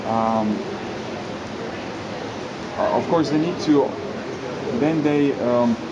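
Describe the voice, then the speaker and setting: Halting male interpreter over clear background noise. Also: medium pitch, lo-fi sound. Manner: halting, searching for words and repeating them. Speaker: sign language interpreter voicing an interviewee. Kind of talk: spoken interpretation in a formal interview. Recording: clear air-conditioning-like background hum.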